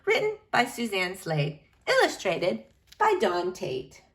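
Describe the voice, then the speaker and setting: A woman reading a children's picture book aloud expressively, with emphatic, dramatic phrasing and drawn-out key words. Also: mid-range voice, huge pitch swings.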